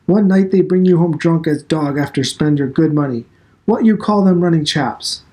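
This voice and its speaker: A man's voice: fairly high pitch, normal speed, a little mellow, voice pushed up, close to an everyday voice. Man voicing a severe, reproachful old immigrant father. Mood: scornful scolding. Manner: broken immigrant English, reproachful questions.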